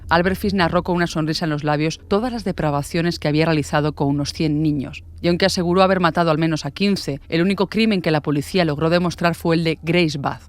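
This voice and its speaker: A woman from reads a scripted, dramatic true-crime narration. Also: low-pitched. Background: music.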